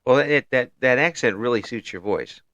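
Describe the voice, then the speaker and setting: A man from Illinois hosting a casual online interview, talking in halting restarts with frequent chuckles. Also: medium pitch, a bit bright, no room sound, highs cut off. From Illinois, USA. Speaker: interview show host.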